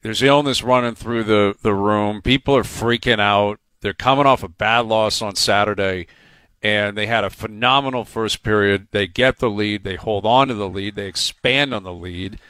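A man hosts a sports talk radio show, his voice sitting low and close on a dry studio mic. He talks in a quick, chatty, rambling way, rolling from one story into the next. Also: a bit bright, clean tone, dry recording.

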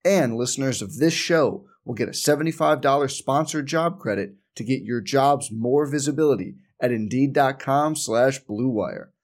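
Male ad announcer, mid-pitched voice, scripted sponsor read. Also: normal speed, hardly any pauses. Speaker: advertisement announcer.

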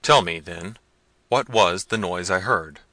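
Tyrant prince, older, commanding and harsh, read by a man, bright forceful tone, dry sound. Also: deep voice, lively intonation, normal speed, no echo, heavily acted. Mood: wrathful. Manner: loud, harsh, threatening.

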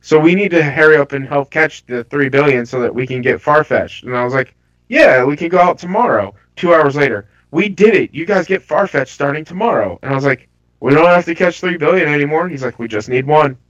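A man with a stuffy, under-the-weather voice, chatting casually about games with halting restarts. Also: fairly high pitch, expressive, fairly bright tone. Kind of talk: casual gaming chat. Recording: slightly dull lo-fi audio.

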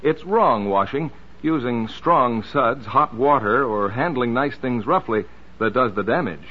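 A man's voice: medium pitch, expressive, measured pace, slightly rough. A man announcing a scripted 1940s radio advertisement. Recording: muffled 1940s radio broadcast.

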